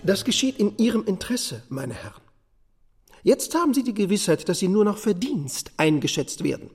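High-pitched, officious company boss. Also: male voice, very animated, normal speed, darkish tone, husky, soft background music, exaggerated voice. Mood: smug, lecturing. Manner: pompous, formal. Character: officious, smug male efficiency manager lecturing his staff. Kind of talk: German radio drama acting.

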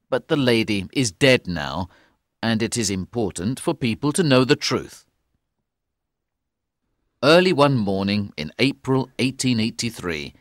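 An actor playing a loyal gentleman who narrates a detective story in a measured, deliberate way. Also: fairly low pitch, dry recording, clean studio sound. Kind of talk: slow, clear scripted story narration.

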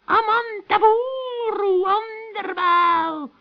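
Cranky scheming old witch, very high shrill cackle, scolding and wheedling, muffled children's radio play. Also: steady tone, very slow, heavily acted. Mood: grumbling.

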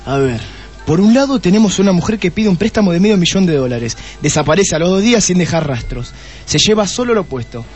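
A man's voice: high-pitched, lively intonation, brisk, darkish tone, faint music behind. A man playing an impatient, brooding police detective in a comic radio play, exasperated and bossy, with an Argentine accent.

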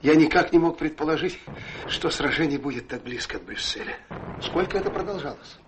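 An actor playing a blustering, hearty gentleman in a radio play, speaking in a pompous, declamatory manner. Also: a little high, expressive, a little fast, noisy background, close to an everyday voice. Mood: worried.

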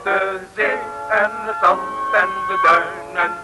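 A man's voice: high-pitched, steady tone, takes it slowly, low-quality recording. A man singing a comic anthem for a 1960s radio serial. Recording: old radio recording with music.

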